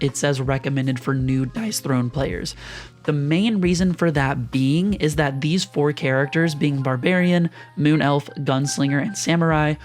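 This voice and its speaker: A young man with a slightly hoarse, rough voice from a sore throat, casually walking viewers through board game choices in a chatty, explanatory way. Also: on the high side. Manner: chatty, explanatory, uses lots of fillers. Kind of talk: casual screen-share walkthrough.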